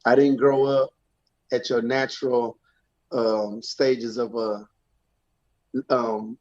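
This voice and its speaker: Man, guest, relaxed, hesitant, mid pitch, muffled lo-fi sound. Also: slow. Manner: relaxed, hesitant with many ums. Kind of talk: casual interview chat. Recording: dull lo-fi sound.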